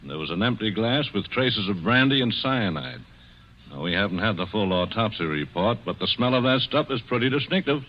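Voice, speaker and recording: A man playing a shrewd, lively police inspector. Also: on the low side, natural voice. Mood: firm. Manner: measured, methodical questioning. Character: shrewd male police inspector, fatherly and firm. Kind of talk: 1940s radio acting. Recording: muffled 1940s radio broadcast with faint hiss.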